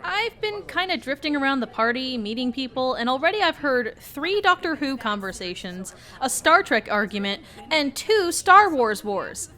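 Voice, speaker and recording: A woman's voice: sits high, big pitch swings, moderate pace, hardly any pauses, bright, forceful tone, full character voice. A woman playing a sociable, curious newcomer in a comedic audio drama.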